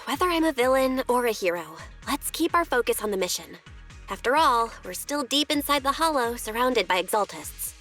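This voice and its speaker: High-voiced, self-assured young heroine. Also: female voice, lively intonation, normal speed, a bit bright. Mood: resolute. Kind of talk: video game voice acting. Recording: music behind the voice.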